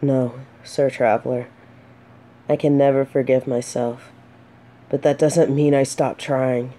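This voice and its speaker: An earnest exiled female knight, voiced by a woman for a romantic fantasy audio drama. Her voice is low and mellow, and she takes her time. She carries herself solemnly, yet her words can stumble when she is flustered. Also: fairly even intonation, measured pace. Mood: solemn, remorseful. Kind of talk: scripted romantic audio roleplay, addressing the listener.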